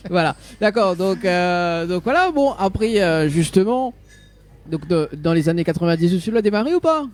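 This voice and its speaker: A man's voice: high-pitched, lively intonation, normal speed, slight background noise. A man hosting a live interview, chatty and hesitant, repeating himself. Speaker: radio and TV host.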